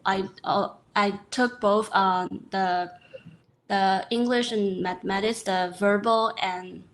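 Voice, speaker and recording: A young woman from Myanmar, a scientist answering interview questions in a webinar, pausing often with fillers. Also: mid-range voice, steady tone. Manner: hesitant, many fillers. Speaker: guest scientist.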